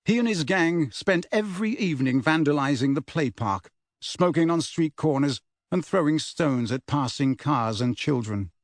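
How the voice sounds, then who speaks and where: A man's voice: fairly high pitch, expressive, moderate pace, no echo, studio quality. A man reading a novel aloud as scripted audiobook narration.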